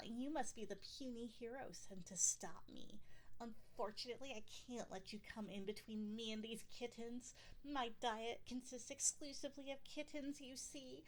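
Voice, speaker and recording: A woman's voice: on the high side, voice pushed up, natural voice. A woman voicing a giant, shallow female sphinx, a haughty and theatrical villain in a fantasy audio roleplay.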